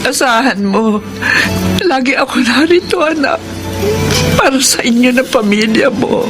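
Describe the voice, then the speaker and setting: A woman acting as a caring grandmother in a radio drama, gentle and reassuring. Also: high voice, animated, a little slow, over loud music. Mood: tender. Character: caring grandmother seeing her daughter off.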